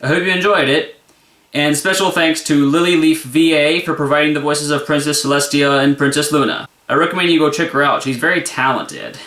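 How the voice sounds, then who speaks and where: A man's voice: fairly high pitch, a little fast, bright, forceful tone. A man speaking as a channel host, giving a casual outro in his own plain voice. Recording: roomy, echoey voice recording.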